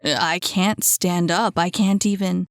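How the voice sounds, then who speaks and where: A woman's voice: on the low side, fairly even intonation, takes it slowly, no room sound, clean studio sound. A woman voicing an injured, panicked young man straining to break out.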